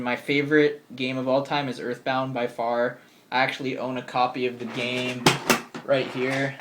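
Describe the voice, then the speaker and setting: A man's voice: medium pitch, fairly bright tone, slight background noise. A man of twenty answering viewer questions in a casual vlog, chatty and upbeat, full of ums and likes. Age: 20s. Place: bedroom with game shelves.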